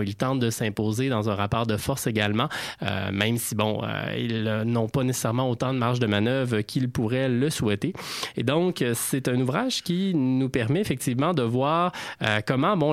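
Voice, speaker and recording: A man with a Quebec French accent talks through history in an explanatory, scholarly register. His mid-pitched voice moves haltingly, with frequent pauses, in a very dry recording. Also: dry recording. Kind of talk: explanatory discussion of a history book.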